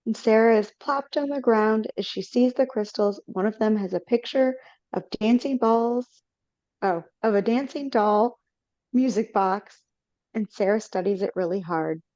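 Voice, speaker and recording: A woman's voice: medium pitch, a little slow, a little mellow, dry recording. A woman narrating stage directions in a casual way for a live reading over a video call, with slips and self-corrections. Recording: dull lo-fi audio.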